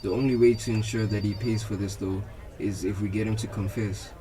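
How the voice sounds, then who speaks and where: A man's voice: fairly low pitch, steady tone, a little fast, warm tone, close to an everyday voice. A young man playing an attentive detective reasoning through a case in a radio drama. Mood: questioning.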